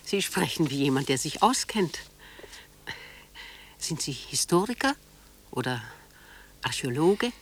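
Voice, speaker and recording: An actress playing an old, dignified lady and protective mother in a radio play, with a husky voice and genteel manner. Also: low voice, big pitch swings, measured pace, pauses often, heavily acted. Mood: polite, guarded. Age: older. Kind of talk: dramatic radio play acting.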